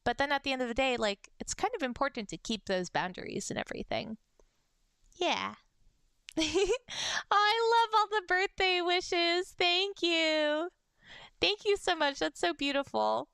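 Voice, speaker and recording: A young adult woman with a high-pitched voice, chatting casually on a livestream and reading fan messages, bubbly and giggly. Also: expressive, measured pace, gravelly, fairly bright tone, no room sound, clean studio sound. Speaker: VTuber streamer.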